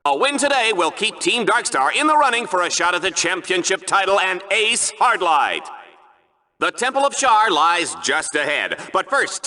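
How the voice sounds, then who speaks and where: A man's voice: high-pitched, sharp tone, exaggerated voice. A man playing a smarmy, gleeful announcer of a deadly combat sport in a video game, with showy, mock-dramatic delivery. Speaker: sports show host.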